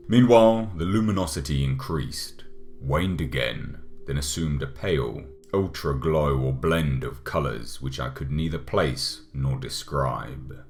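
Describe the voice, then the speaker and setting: A man narrating a gothic horror audiobook in a measured, dramatic manner. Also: extremely low pitch. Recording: music under the voice.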